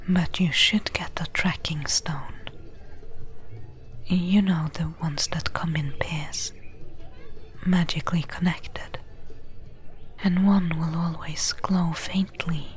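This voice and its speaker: A woman playing a practical, caring desert adventurer in an ASMR roleplay, giving gentle advice in a breathy whisper. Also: on the low side. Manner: gentle, unhurried, advising.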